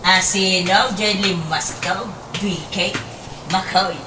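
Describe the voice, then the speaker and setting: A woman acting a gentle, questioning mother of grown children in an Ilocano radio drama, with an Ilocano accent. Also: mid-range voice, fairly even intonation, takes it slowly, background noise, close to an everyday voice. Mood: suspicious.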